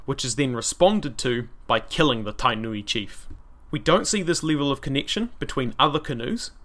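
A man's voice: mid-range voice, sharp tone. A man with a New Zealand accent, hosting a podcast and reading a scripted history narration with dry, wry asides. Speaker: podcast host.

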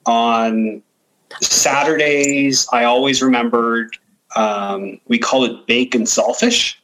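Male interview guest from Guyana and Canada, middle register, thoughtful and conversational, with halting word searches. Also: medium pitch, expressive, fairly bright tone, poor audio. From Guyana, moved to Canada as a child. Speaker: podcast guest.

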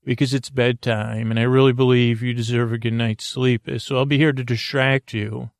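A middle-aged man hosting a bedtime podcast in a rambling, casual monologue, haltingly meandering, often trailing off and starting again. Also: mid-range voice, little pitch movement, no echo, studio quality. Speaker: bedtime story podcast host.

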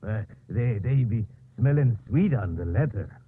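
Through a tinny old radio broadcast comes a simple rustic man with a rural English dialect. He is humble and halting, feeling his way through each phrase in a radio play. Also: medium pitch, animated, husky. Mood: earnest, halting. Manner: halting, repetitive. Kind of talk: 1950s radio acting.

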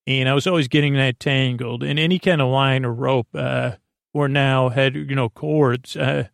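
A man hosting a bedtime podcast in a meandering, halting monologue, doubling back on phrases with deadpan whimsy. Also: medium pitch, creaky, no room sound, clean studio sound. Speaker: podcast host.

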